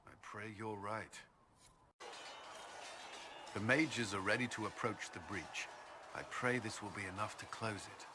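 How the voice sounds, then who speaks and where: A man acting a stern, weary military commander in a video game, speaking in a formal, measured way. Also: lowish voice, noisy background, natural voice. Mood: guarded, firm. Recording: music behind the voice.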